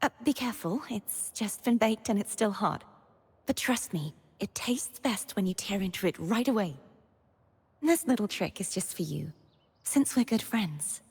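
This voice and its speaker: A young woman playing a gentle, earnest, wistful maiden heroine in scripted video game lines, soft-spoken and breathy. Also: mid-range voice, expressive, normal speed. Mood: tender, friendly. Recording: long reverb on the voice.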